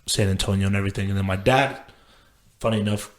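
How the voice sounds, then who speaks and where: A man's voice: lowish voice, fairly even intonation, fast. A man in his late twenties from Texas, telling his life story as a podcast guest in a loose, rambling way, with lots of fillers and casual swearing. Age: late 20s. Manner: loose and profane, lots of "like" and restarts.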